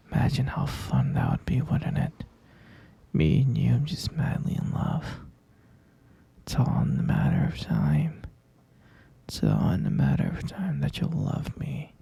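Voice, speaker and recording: A man playing an obsessive, sweetly menacing kidnapper lover in a whispered, husky ASMR roleplay, coaxing and clingy. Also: mid-range voice, dark tone, no echo, heavily acted. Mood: insistent, lovesick. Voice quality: breathy, husky near-whisper with creaky fry. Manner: slow, coaxing, clingy, pet names.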